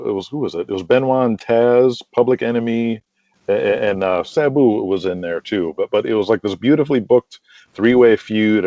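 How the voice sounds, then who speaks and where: Male interview guest, lower register, rambling enthusiast, casual delivery, muffled lo-fi audio. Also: creaky, warm tone, dry recording. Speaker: interview guest, wrestling promoter and booker.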